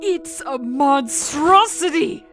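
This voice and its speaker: A woman playing a commanding, hostile figure in a fantasy audio drama, urgent and imperious, with loud music behind her. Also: high voice, lively intonation, takes it slowly, exaggerated voice. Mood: appalled.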